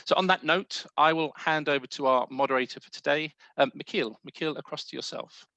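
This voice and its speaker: A man hosting the event, delivering a scripted business pitch. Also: fairly quick, pushed and bright, no echo, muffled recording. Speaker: host. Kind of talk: prepared presentation.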